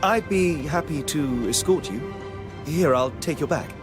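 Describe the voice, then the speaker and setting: An actor playing a breezy, chivalrous, flirtatious gentleman traveller, polite and smooth-talking, in a video game. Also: a little high, a little slow, over music, close to an everyday voice. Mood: gallant. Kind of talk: video game dialogue acting.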